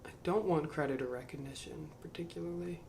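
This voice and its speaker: Slow, musing man reading aloud. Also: fairly high pitch, gravelly, a little mellow, a little hiss or hum. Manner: slow, musing, self-questioning, trails off into mumbles. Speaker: channel host reading a book aloud. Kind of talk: reading aloud with reflective commentary. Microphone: to camera.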